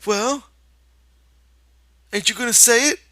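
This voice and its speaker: A man voicing a simple-minded giant farmhand who pleads in a high, strained wail with a rural drawl. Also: takes it slowly, sharp tone, no room sound, full character voice. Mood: pleading.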